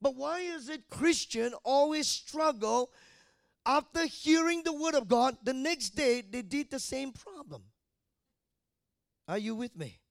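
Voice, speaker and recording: A man's voice: extremely high pitch, lively intonation, measured pace, vocal fry, no echo, studio quality. A man in his fifties from Malaysia preaching a sermon, often asking the congregation questions. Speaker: pastor.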